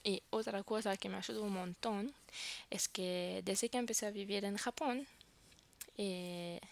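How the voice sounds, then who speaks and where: A woman's voice: medium pitch, fairly even intonation, unhurried, vocal fry. A woman whose first language is French, vlogging casually to camera and searching for words as she talks.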